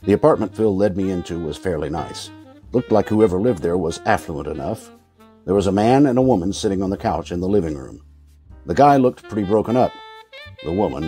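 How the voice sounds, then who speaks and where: Male actor as noir detective narrator, world-weary and wry, deep, relaxed voice, old-time radio drama. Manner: dry, sardonic.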